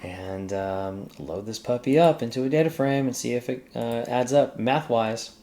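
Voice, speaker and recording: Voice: medium pitch, normal speed. A tutorial host thinking aloud casually in a screen-recorded coding demo, with informal asides and some keyboard typing. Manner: informal, exclamatory asides to self and software. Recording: faint background noise, keyboard typing.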